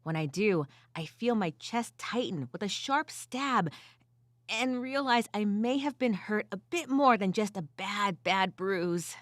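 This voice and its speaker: A woman's voice: medium pitch, lively intonation, unhurried, no echo, studio quality, lightly acted. A woman voicing a weary but gritty furred female adventurer who tells her story in the first person with wry asides, in an audio drama style. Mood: weary, pained.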